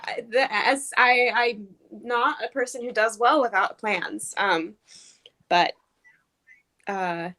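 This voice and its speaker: A woman chatting casually in a halting, reflective way. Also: a little high, pushed and bright. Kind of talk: casual chat.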